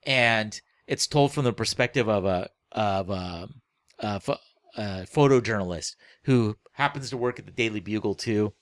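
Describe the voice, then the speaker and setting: Halting, rambling man in casual chat. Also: on the low side, a bit bright, dry recording. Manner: rambling, halting, often trailing off mid-phrase. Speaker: podcast co-host. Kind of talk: casual book-club chat.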